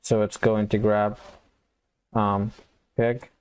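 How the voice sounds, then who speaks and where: A man giving a casual coding tutorial, thinking aloud and explaining step by step in an informal, halting way. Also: lowish voice, little pitch movement, darkish tone, clean tone. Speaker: programming tutorial instructor.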